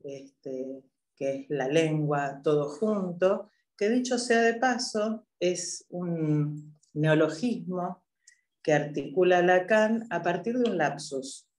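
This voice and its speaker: A woman moderating a public event, speaking in a measured way with hesitant filler pauses. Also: lowish voice, a little mellow. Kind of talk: introductory talk at a public event. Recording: echoey room.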